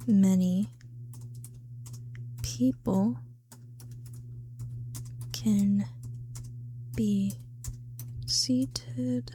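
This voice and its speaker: A woman's voice: medium pitch, fairly even intonation, drawn-out words, pauses often, mellow, a little hiss or hum. A tired woman chatting casually to her viewers in a partly whispered ASMR style, rambling in a self-amused way with loose, sweary language. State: tired, late at night. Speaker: ASMR creator.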